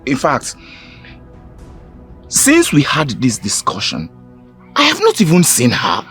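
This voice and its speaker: A man's voice: a little high, huge pitch swings, slow pace, fairly bright tone, soft background music, full character voice. An actor playing an anxious young man who protests his innocence to his friends. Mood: defensive.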